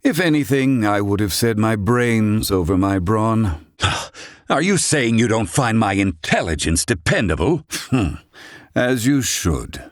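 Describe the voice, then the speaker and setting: A man's voice: fairly low pitch, expressive, unhurried, dry recording, studio quality. An actor playing a proud, grumpy, brainy older man in a video game, with pompous, blustering, wordy old-fashioned diction. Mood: gruff, composed. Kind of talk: dramatic English-dub game acting.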